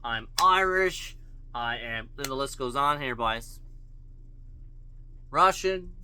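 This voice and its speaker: A man's voice: medium pitch, expressive, slow, pushed and bright, a little hiss or hum. A man ranting bluntly to his viewers, profane and full of rhetorical questions. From Canada. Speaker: vlogger. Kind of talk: opinion rant to camera.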